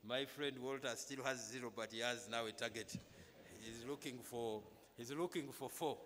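A man's voice: mid-range voice, normal speed, a bit bright. Ugandan clergyman in a reverberant hall. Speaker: clergyman leading a church service. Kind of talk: church announcements and welcome to a congregation. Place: large brick-walled chapel, indoors. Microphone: microphone on stand at pulpit, through face mask. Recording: reverberant hall through PA microphone.

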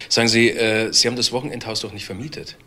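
A man's voice: medium pitch, fairly even intonation, quick pace, bright, forceful tone, lightly acted. An actor playing a plain-spoken male police detective in a crime drama, colloquial and businesslike. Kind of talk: screen crime drama dialogue. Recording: faint background noise.

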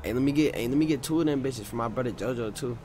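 Young american guy on game voice chat, cussing and talking tough, slightly low voice, bit of noise. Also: rapid-fire, dark tone. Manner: slangy, profane, confrontational trash talk. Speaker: gamer streaming a roleplay game. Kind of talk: casual in-character game banter.

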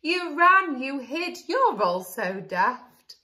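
A woman voicing a boastful little boy dinosaur who plays pranks, with shrill cries. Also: sing-song, slow, bright, forceful tone, heavily acted. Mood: mocking.

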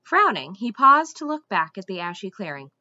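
A young adult woman reading a storybook aloud like a teacher, with deliberate, dramatic phrasing. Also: mid-range voice, big pitch swings, bright, forceful tone, dry recording, dull audio. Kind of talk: expressive storybook read-aloud. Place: small room indoors at home.

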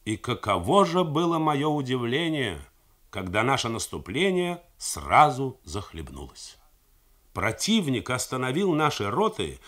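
A man reading the words of an American colonel recounting what he saw at war, in a grave, measured manner. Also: medium pitch, fairly bright tone, voice pushed up. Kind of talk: 1980s radio novel reading.

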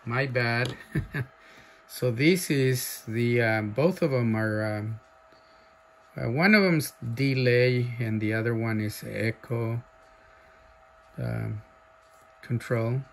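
A man casually showing off a radio he is selling, talking haltingly with many fillers and breaking into loud clipped mic tests. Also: mid-range voice, creaky, slight background noise. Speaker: seller demonstrating a radio. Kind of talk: casual off-the-cuff product demo. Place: indoor workshop bench.

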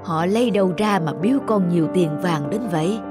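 A woman voicing a grave, wise old father who questions and moralizes in a measured way in an animated folk tale. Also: fairly low pitch, over music, close to an everyday voice. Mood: puzzled, probing.

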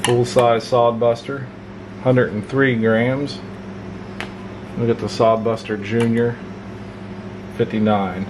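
A man casually reviewing a pocket knife at his home workbench, relaxed and folksy, with plenty of filler words. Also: mid-range voice, slow, pauses often. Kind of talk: casual hands-on product review. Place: home workshop desk, indoors. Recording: clear hum of room noise.

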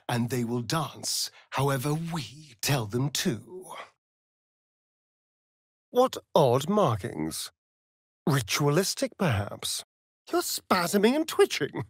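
A man's voice: on the high side, dry recording, clean studio sound, heavily acted. A man with a British accent voicing a vain, sardonic, seductive vampire rogue in a fantasy video game, with an arch, flamboyant, mocking manner. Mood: smug, seductive.

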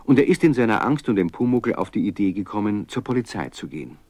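A man's voice: fairly low pitch, big pitch swings, fast, a little mellow, dry recording. A man narrating a children's radio play in a scripted storytelling style, as its storybook narrator.

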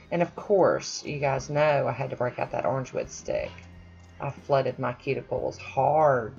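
A woman's voice: rumbling low, vocal fry, a little mellow, lo-fi sound. A woman chatting casually over her own nail painting, self-deprecating with friendly asides. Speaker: nail art vlogger. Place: home manicure station, voice-over.

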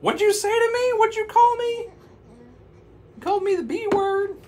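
Man doing a shrill squealing witch. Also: normal speed, darkish tone. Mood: indignant. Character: shrill fussy female witch in woods.

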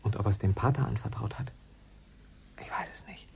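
A man's voice: on the low side, moderate pace, gravelly, noisy background. An actor playing an earnest, agitated man in a German radio play, with an urgent, pleading way of talking. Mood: hushed, confiding. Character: earnest, agitated man defending his dead mentor.

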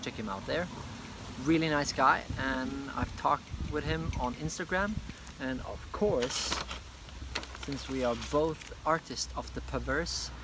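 A man's voice: mid-range voice, a little slow, heavy background noise. A young man with a Norwegian accent who makes comics, chatting loosely through a casual video review on a park bench, with many fillers. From Norway. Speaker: comic artist and vlogger. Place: park bench outdoors, beside a hedge. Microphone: phone camera at arm's length.

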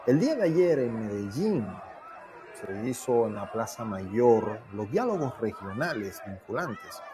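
A man in his fifties giving informal, disapproving news commentary to camera from an indoor room. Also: on the low side. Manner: disapproving, colloquial. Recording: crowd noise behind voice.

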